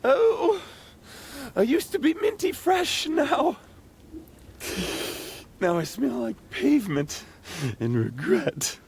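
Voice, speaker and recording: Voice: high voice, big pitch swings, slow pace, creaky, long pauses, a little mellow, slight background noise, exaggerated voice. An actor voicing a frightened, whiny piece of chewed bubble gum in an audio comedy, plaintive and stammering. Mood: forlorn. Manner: plaintive, stammering, repeats phrases. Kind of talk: comedic audio drama acting.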